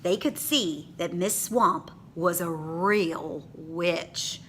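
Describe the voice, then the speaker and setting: Female read-aloud narrator for kids: expressive, bright, forceful tone, very unhurried, some noise behind. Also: on the low side. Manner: animated, dramatic phrasing. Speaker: storyteller reading aloud to children.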